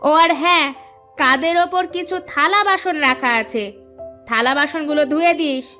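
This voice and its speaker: A woman voicing a harsh, bossy stepmother in a cartoon, sharp and scolding. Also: high-pitched, moderate pace, faint music behind. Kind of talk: cartoon voice acting.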